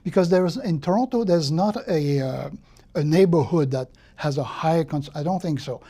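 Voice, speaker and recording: This older man speaks English with a Quebec French accent, his voice fairly high for a man but soft and mellow. He talks things through thoughtfully, repeating words as he goes and often checking in with a "no?" as he tells his life story. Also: moderate pace. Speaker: guest.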